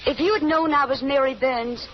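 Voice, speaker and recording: A woman's voice: high voice, normal speed, natural voice. An actress playing an anguished, devoted young fugitive woman, earnest and melodramatic in old-time radio style. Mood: fearful, pleading. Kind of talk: 1930s radio drama acting. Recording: muffled, noisy old radio recording.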